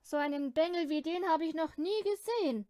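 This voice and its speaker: A woman's voice: very high-pitched, fairly quick, warm tone, pitched above the performer's own voice. A woman voicing an exasperated farm maid from a storybook, lamenting a naughty child with dramatic wailing.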